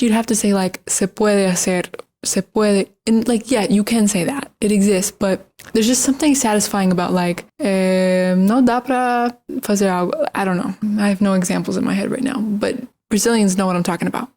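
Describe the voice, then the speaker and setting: A woman's voice: medium pitch, moderate pace, a little mellow. Rambling American woman ranting casually. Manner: rambling, repeats words and restarts, frank. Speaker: language-learning vlogger. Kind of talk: casual rant to camera.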